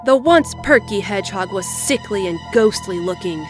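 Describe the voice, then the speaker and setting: A woman's voice: medium pitch, animated, normal speed. Woman narrating a story over music.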